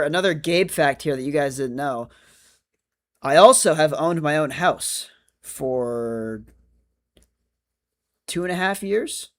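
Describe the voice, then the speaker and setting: A man of twenty-five chatting casually on a livestream, answering viewer comments in a rambling, self-correcting way. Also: on the high side. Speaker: streamer.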